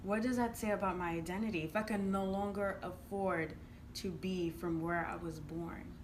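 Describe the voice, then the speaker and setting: A woman's voice: lowish voice, steady tone, moderate pace. A woman from Uganda giving conversational interview answers in an earnest, explanatory manner, often checking in with "right?". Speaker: interviewed entrepreneur and youth programme coach. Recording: clearly noisy room ambience.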